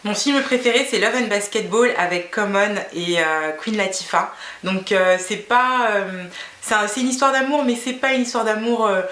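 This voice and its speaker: A woman's voice: mid-range voice, normal speed, fairly bright tone, roomy sound. A woman in her thirties from Guinea whose first language is Fula, chatting casually to camera with many fillers and restarts. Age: 30s. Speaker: beauty vlogger.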